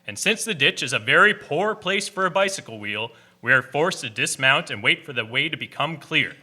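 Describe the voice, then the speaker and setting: A man's voice: a little high, animated, normal speed, pushed and bright, slightly rough, exaggerated voice. A man acting a formal, officious meeting chairman of the 1890s, declaiming in orderly fashion in a radio drama.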